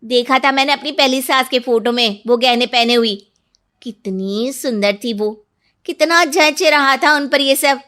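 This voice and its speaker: A woman playing a sharp-tongued, confrontational young daughter-in-law in a cartoon story. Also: high-pitched, unhurried. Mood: smug. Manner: brash, confrontational.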